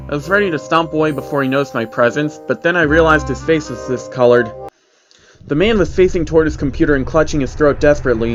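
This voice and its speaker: A man narrating a scripted first-person horror story with a dramatic storytelling delivery. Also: a little high. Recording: music under the voice. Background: music.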